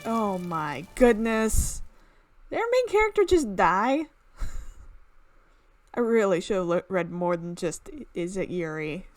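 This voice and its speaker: A woman hosting a gaming video, reading a visual novel aloud in an expressive way with exclaimed reactions. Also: medium pitch, takes it slowly, pauses often, slight background noise.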